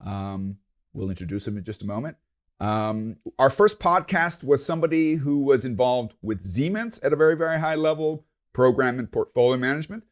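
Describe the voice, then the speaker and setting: A man with a mid-range voice hosts his podcast in a loose, rambling way. He pauses often, fills gaps with ums and uhs, and restarts his sentences. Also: medium pitch, lively intonation. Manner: rambling, hesitant, lots of fillers and restarts. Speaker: podcast host. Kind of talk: casual interview hosting.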